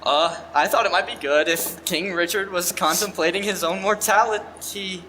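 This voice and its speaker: Brief: nervous, self-deprecating junior writer, high bright stammering voice. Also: male voice, normal speed, slight background noise. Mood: timid. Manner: hesitant, stammering, self-doubting. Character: timid, insecure younger brother and writer, male. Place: theater stage.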